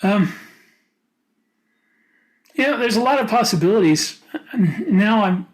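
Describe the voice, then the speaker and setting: A man's voice: high voice, expressive, a little slow. Matter-of-fact man in casual discussion. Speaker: podcast co-host, writer.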